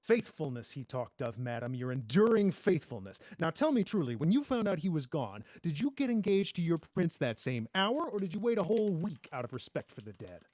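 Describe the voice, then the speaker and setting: A man's voice: fairly high pitch, sing-song, fairly quick, hardly any pauses, darkish tone, dry recording. A man playing a cool, cynical masked pirate with mocking, polite menace in a fairy-tale table read. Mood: accusing. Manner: sardonic, drawling taunts with polite menace.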